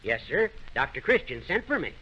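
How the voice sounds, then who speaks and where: An actor playing a folksy, rambling teller of tall tales, spun in earnest, in an old radio play. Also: male voice, medium pitch, sing-song, bright, forceful tone, breathy edge, heavily acted. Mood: earnest yarn-spinning. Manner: folksy, drawn-out, earnest tall-tale delivery. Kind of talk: old-time radio comedy-drama acting. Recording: muffled old radio recording.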